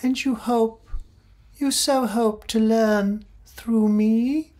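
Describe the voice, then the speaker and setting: A woman voicing a gentle female spirit who speaks through a medium, soft and calm, in old-time radio drama style. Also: a little high, extremely slow. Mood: serene.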